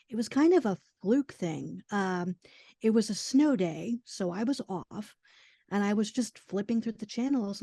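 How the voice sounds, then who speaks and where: A woman in her forties, a podcast guest telling personal stories in a casual, animated way. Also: medium pitch, no echo, studio quality. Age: 40s. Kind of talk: casual chat, personal storytelling.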